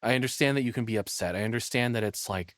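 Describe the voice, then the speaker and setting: A man's voice: mid-range voice, fast talker, fairly bright tone, no echo, studio quality. A man giving casual live reaction commentary as a streamer, blunt and profane, rambling through his opinions as he thinks aloud.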